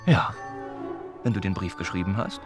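An actor playing a kind young older cousin in a radio play, speaking gently and patiently to reassure a child. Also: male voice, deep voice, moderate pace, music in the background, close to an everyday voice. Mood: gentle, reassuring.

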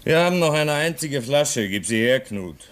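A man's voice: medium pitch, animated, fairly bright tone, lightly acted. An actor playing a weary, homesick man stuck at a polar station, speaking in a brooding, bitter way. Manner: brooding, bitter, slow and drawn out. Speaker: radio drama actor. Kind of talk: 1950s-style German radio play acting.

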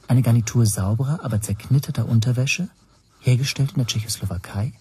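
An actor narrating a radio drama in the first person as an anxious language teacher, hushed and tense. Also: male voice, medium pitch, a little hiss or hum, lightly acted. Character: anxious male language teacher recounting his spy troubles.

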